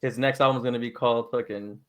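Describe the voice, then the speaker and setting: A man's voice: medium pitch, fairly even intonation, fast, a bit bright. A man in casual podcast chat, slangy and teasing. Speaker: podcast host.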